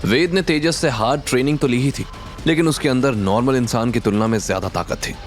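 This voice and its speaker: A man's voice: medium pitch, a little fast, faint music behind. A man narrating a dramatic scripted audio series as its storyteller.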